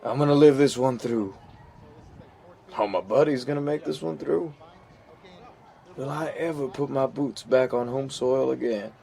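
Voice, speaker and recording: A man's voice: mid-range voice, gravelly, warm tone. Man acting a plain-spoken war veteran. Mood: tense, storytelling. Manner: reflective, conversational, halting pauses, plain-spoken. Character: weathered American army veteran, once a hot-tempered youth, earnest. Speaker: actor in a fiction audio drama. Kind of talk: dramatic first-person monologue, audio drama.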